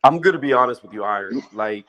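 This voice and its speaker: Relaxed conversational man. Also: mid-range voice, fast talker. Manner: relaxed, conversational, reasoning aloud. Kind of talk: casual boxing talk.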